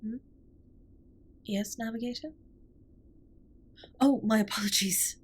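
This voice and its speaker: A woman playing a formal, earnest, caring alien spaceship captain in a scripted comfort roleplay, speaking softly and breathily with measured, precise wording. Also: on the high side, steady tone, a little hiss or hum. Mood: tender, pleased. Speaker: audio roleplay performer. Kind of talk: scripted comfort roleplay, intimate one-sided dialogue.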